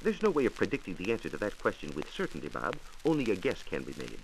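A man's voice: sits low, wildly swooping pitch, fast talker, creaky. An American man giving a formal, precise scripted science explanation as a 1940s radio reporter. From United States. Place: radio studio. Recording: noisy vintage 1940s radio transcription disc.

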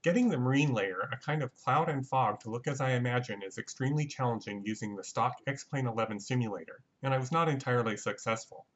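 A man giving a measured, matter-of-fact scripted technical narration as an explainer. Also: mid-range voice, a bit bright, muffled recording. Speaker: narrator of a flight reconstruction.

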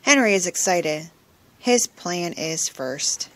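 A woman reading a children's picture book aloud in simple, expressive phrases for young listeners, recorded at home on a phone. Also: fairly low pitch, slow. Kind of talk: children's storybook read-aloud. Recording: noisy home recording with clicks.

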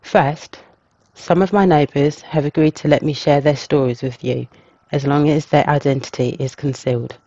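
A man reading a scripted documentary narration as a storyteller, in a measured, even way. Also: a little high, no room sound, muffled recording. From England.

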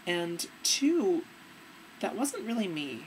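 A young woman with a fairly deep voice giving earnest, relaxed advice to her webcam in a dim room. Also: slow, slight background noise, poor audio. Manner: earnest, relaxed, demonstrating as she talks. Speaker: vlogger. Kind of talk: casual advice vlog.

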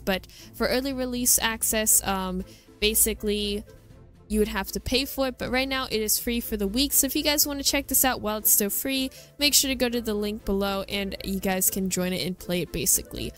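A woman's voice: medium pitch, brisk, a bit bright, faint music behind. A woman hosting a gaming video, giving a chatty, enthusiastic, casual walkthrough commentary.

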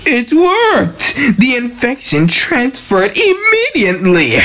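A woman's voice: fairly high pitch, wildly swooping pitch, takes it slowly, a bit bright, heavily acted. A woman voicing a devoted, obsessive lab assistant to a villain, deferential and earnest, in an amateur comic dub. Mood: anxious, attentive.

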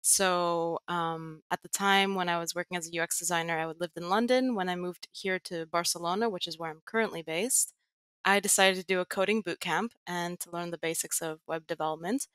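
A young woman with a bright, crisp voice sits lower in pitch than most, her slight American accent smooth and unforced. She talks in a measured way, pausing on little ums as she thinks, heard dry and close through a headset on a video call. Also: fairly even intonation, no room sound. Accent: American-leaning international. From Greece. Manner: measured, with frequent um pauses. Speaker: guest, tech writer and educator. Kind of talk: casual interview talk. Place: home room with plain wall. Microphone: headset over video call.

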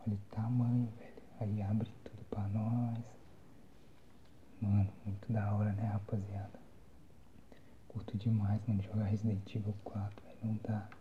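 A young Brazilian man giving casual, slangy ASMR gameplay commentary in a soft, breathy whisper. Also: fairly low pitch, little pitch movement, normal speed, phone-quality sound, slight background noise. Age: young adult. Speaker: gamer, ASMR channel host. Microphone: phone microphone, close.

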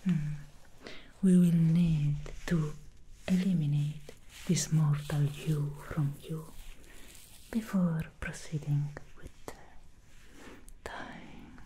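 A woman voicing an alluring female demon hairstylist in a whispered ASMR roleplay, speaking in a breathy whisper close to the microphone with slow teasing hums between lines. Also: low-pitched, steady tone, warm tone, a little hiss or hum, rough recording. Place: small dressed studio set indoors. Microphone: close sensitive microphone.

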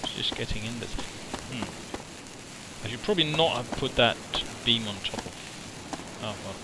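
Man, Danish native, casual gaming chat, lively swinging pitch, swears and jokes, noisy game audio behind. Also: unhurried. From Denmark. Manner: excitable, swears and self-mocking. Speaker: modder playing with a friend.

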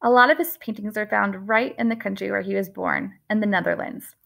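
A woman in her thirties, an art teacher giving a lesson to young children, speaking gently and expressively and slowing down on key words, recorded close to a webcam indoors. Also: mid-range voice, vocal fry. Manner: gentle, expressive storytelling with slow emphatic words.

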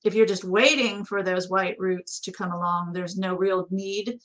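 A woman's voice: mid-range voice, fairly even intonation, moderate pace, fairly bright tone. A middle-aged woman with an American accent, co-hosting a casual, conversational question-and-answer chat. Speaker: co-host. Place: small indoor office.

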